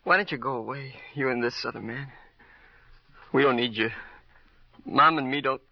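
A man playing a stunned, withdrawn teenage boy in a vintage radio drama, speaking in short, halting phrases. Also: mid-range voice, vocal fry, dry recording, natural voice. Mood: resentful. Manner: halting, terse, subdued. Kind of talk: 1940s-50s radio drama acting. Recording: muffled old radio recording, dry studio.

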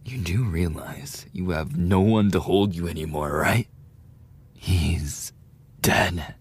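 A man's voice: sits low, slow, slightly rough, heavily acted. A man playing a cruel, mocking teenage delinquent bully in a dramatic roleplay audio, with a taunting, sneering, menacing delivery.

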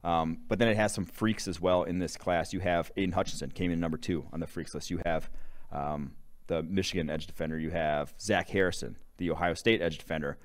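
A young man with a very low voice, co-hosting a casual football podcast. He rattles off long lists of prospects and rankings. He is from Wisconsin. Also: brisk. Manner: animated, rattles off player lists. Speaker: podcast co-host, draft analyst. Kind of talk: casual sports analysis chat.

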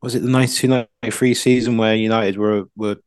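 A man's voice: fairly low pitch, steady tone, fast, no echo, clean studio sound. A man co-hosting a football podcast, casually analysing in rambling, stop-start sentences full of asides.

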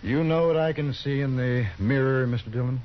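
An actor playing a calm, quietly threatening outlaw boss on an old 1950s radio drama. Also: male voice, mid-range voice, a little fast, natural voice. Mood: menacing. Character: cool, menacing outlaw boss. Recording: dull hissy 1950s radio broadcast.

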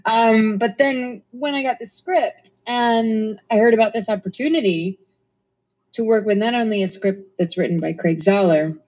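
A woman from Norway, an actress talking as a guest in a casual interview, chatty and quick to laugh, with many ums. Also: a little high, darkish tone. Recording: slightly muffled recording.

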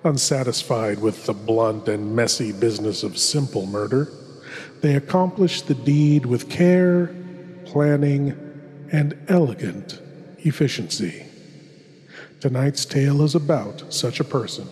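In a long, echoing hall, a man puts on a suave, sinister horror host who savors dark tales. His speech is very slow and ominous, with ornate, theatrical deliberation. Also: medium pitch, natural voice. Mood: macabre relish. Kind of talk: theatrical scripted horror hosting.

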